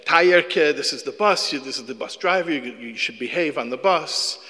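Doting, fussy mother played by a man: loud and high, coaxing, with a bright edge and a long hall echo. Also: normal speed. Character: doting fussy mother sending her small son to school.

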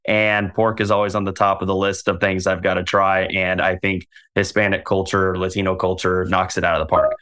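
Upbeat guy hosting a podcast, lowish voice, reads his intro then chats. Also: little pitch movement, fairly quick, hardly any pauses, fairly bright tone, smooth. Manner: upbeat, enthusiastic. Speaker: podcast host. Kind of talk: reading a scripted intro, then chatting.

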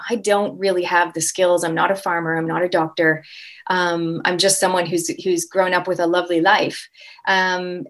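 A middle-aged woman from Canada with a Canadian accent, a guest talking casually in an interview, upbeat and full of fillers and restarts. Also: on the low side. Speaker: guest, impact coach.

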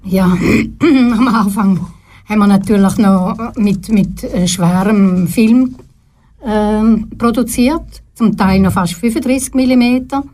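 An older woman with a Swiss German accent, a guest in a radio studio interview, who talks thoughtfully and pauses often to search for words. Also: medium pitch, dark tone. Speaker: guest, retired TV presenter.

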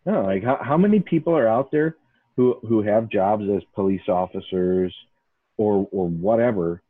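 A man's voice: fairly low pitch, moderate pace, breathy edge, no echo. Emphatic rambling man on a tinny line. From Chicago, north side. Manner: rambling, emphatic, frequent restarts and "like". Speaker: podcast host. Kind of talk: casual podcast chat.

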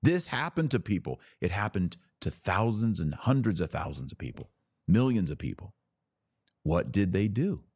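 A man's voice: low voice, sing-song, moderate pace, a little mellow, slightly rough, no room sound, clean studio sound. A man hosting a religious commentary podcast, speaking in an emphatic, preachy way with short punchy phrases and questions put straight to his listeners. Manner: rhetorical questions to listeners, acting out imagined quotes. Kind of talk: impassioned religious commentary to a live audience.

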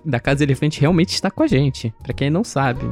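A man's voice: mid-range voice, expressive, fairly quick, darkish tone, soft background music. A man co-hosting a podcast with dry, self-deprecating humor. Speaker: podcast co-host. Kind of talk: casual podcast chat.

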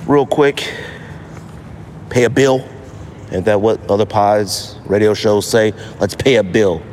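A man hosting a podcast, giving a casual, opinionated monologue in an animated, slangy way. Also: on the low side, slow pace, a little hiss or hum. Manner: animated, slangy, acts out imagined quotes. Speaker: podcast host.

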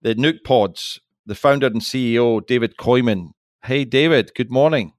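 A man of medium pitch hosts a podcast and keeps the chat light and teasing. His voice is close and dry. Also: normal speed, dry recording. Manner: chatty, teasing banter. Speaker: podcast host. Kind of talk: casual podcast hosting.

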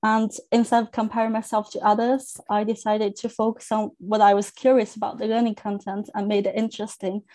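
A young woman from China whose first language is Chinese, speaking earnestly as a guest on a podcast interview, with thoughtful pauses and restarts. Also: medium pitch, flat delivery, darkish tone, low-quality recording. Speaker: academic coach, guest.

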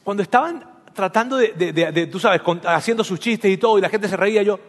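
A man's voice: high-pitched, a little fast, in a large hall. A man from Venezuela, preaching a sermon with humorous stories and asking his audience questions.

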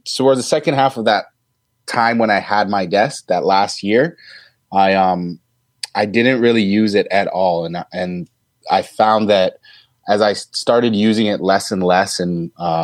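A man in his thirties, a teacher, answering interview questions in a deep voice, thoughtful and hesitant with many ums and restarts. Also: no room sound. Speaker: teacher guest.

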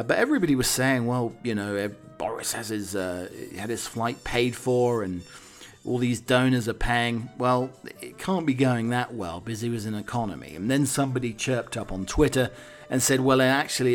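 A man's voice: mid-range voice, normal speed, soft background music. A man hosting a comic talk on the week's news, wry and digressive, with hesitant asides. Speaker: podcast host.

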